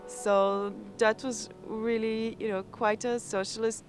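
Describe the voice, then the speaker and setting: A woman in her twenties with a Croatian accent, a pianist answering interview questions thoughtfully, pausing and repeating words. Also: fairly high pitch, little pitch movement. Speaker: competition-winning pianist. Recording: music playing underneath.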